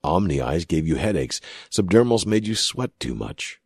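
Very deep, husky male story narrator. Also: big pitch swings, normal speed, a little mellow, dry recording, clean studio sound. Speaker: audiobook narrator. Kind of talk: scripted dramatic fiction narration.

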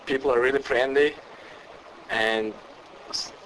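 This is a teenage boy who speaks English. His talk is halting, with frequent fillers and a mid-range pitch. A light hiss sits behind him. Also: fairly even intonation. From Turkey. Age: teens. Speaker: exchange student guest.

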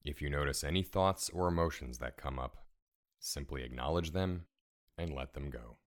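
A man leading a guided relaxation meditation in a calm, soothing, measured way, giving gentle step-by-step instructions. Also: very deep voice. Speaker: meditation guide, podcast host.

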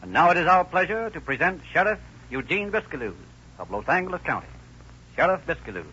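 High-toned declamatory vintage radio announcer, male. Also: big pitch swings, fairly quick, music in the background. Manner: declamatory, formal. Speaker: announcer and narrator. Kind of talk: 1930s radio announcing, scripted. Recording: lo-fi 1930s radio recording.

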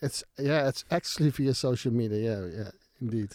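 Man in his forties, interview guest, mellow, dry studio, halting, many restarts. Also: medium pitch, no room sound. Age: 40s. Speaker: guest, university department director. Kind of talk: casual interview answers. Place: small studio.

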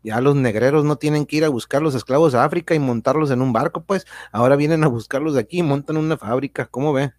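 A man's voice: mid-range voice, quick pace, few pauses, darkish tone, no echo, close to an everyday voice. An actor plays a folksy, indignant man explaining things in an educational radio drama. He, asks rhetorical questions, and sounds dry and close. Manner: colloquial, rhetorical questions, tag words.

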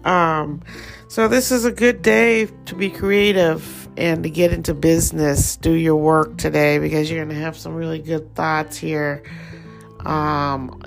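A woman with a Thai accent giving a relaxed, rambling daily astrology forecast as host. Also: low-pitched, vocal fry.

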